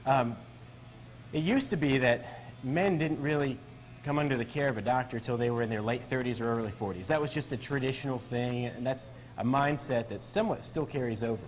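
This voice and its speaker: A man, a doctor, answering questions in a TV interview and explaining his practice in a steady, explanatory way. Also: medium pitch, a little fast, rough recording. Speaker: physician guest.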